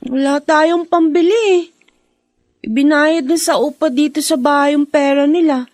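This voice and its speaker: A very high, girlish voice from a woman as a teenage Filipino big sister in a radio drama. She is protective and fussing, caring for her family. The sound is dry and close. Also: fairly even intonation, moderate pace, a little mellow, no echo, close to an everyday voice. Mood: worried.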